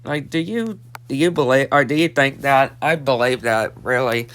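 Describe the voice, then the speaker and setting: A man's voice: mid-range voice, moderate pace, fairly bright tone. A nineteen-year-old man with a stuffed-up nose, casually recapping a cartoon episode and repeating himself with lots of fillers. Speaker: episode reviewer.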